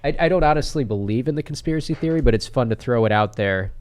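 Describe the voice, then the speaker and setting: A man's voice: medium pitch, expressive, quick pace, a little mellow. A man, a podcast guest, talking sports casually with a stuffed-up, nasal voice. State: congested, nasal.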